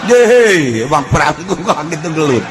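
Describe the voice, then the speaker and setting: A man with a Sundanese accent preaching in an animated, earthy, joking storytelling style. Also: a little high, normal speed, a crowd in the background, noisy background. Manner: animated, breaks into shouts and laughter. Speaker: Islamic preacher. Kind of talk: humorous storytelling sermon.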